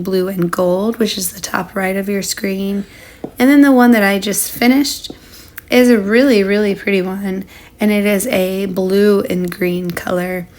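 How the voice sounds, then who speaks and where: A woman narrating a casual craft tutorial in a chatty way, her voice a little under the weather. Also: medium pitch. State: under the weather, recovering lost voice. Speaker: craft channel host.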